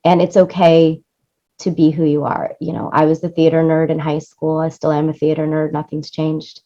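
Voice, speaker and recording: A woman's voice: low voice, flat delivery, moderate pace, dark tone, highs cut off. A woman speaking as an interview guest in an enthusiastic, effusive way, often repeating phrases for emphasis. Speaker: interview guest, music supervisor and producer.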